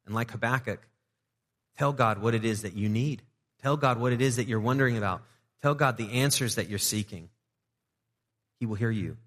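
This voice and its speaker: A man's voice: medium pitch, moderate pace, long pauses, a bit bright. A male pastor preaching a casual, joking sermon to his congregation. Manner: conversational and joking, with playful asides to the congregation. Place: church sanctuary. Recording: long reverb of a church hall.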